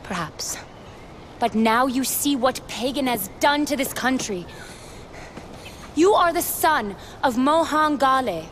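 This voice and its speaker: An actress playing an earnest female rebel fighter in a video game cutscene, hushed and persuasive. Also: high voice, expressive, slow pace, exaggerated voice. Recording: audible background noise.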